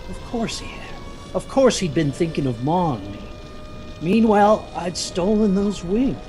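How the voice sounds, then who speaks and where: An actor playing an anxious, guilt-ridden young son, narrating a story in the first person with literary intensity. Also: male voice, sits high, lively intonation, a little slow, music in the background. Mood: guilty.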